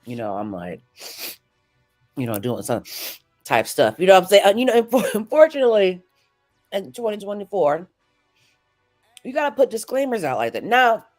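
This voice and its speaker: A woman's voice: mid-range voice, very animated, moderate pace, pauses often, a little mellow, soft background music. A woman hosting a morning show, stuffy from a cold, chatting through the news and checking in with listeners. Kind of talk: casual news recap chat.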